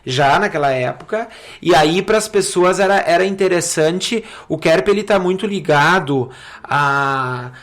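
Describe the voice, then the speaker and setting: Higher-pitched southern Brazilian man, halting delivery. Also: a bit bright. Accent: southern Brazilian, Rio Grande do Sul. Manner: halting, repeats words, seeks agreement with frequent tags. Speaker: podcast guest, city councillor. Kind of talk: conversational interview, explanatory.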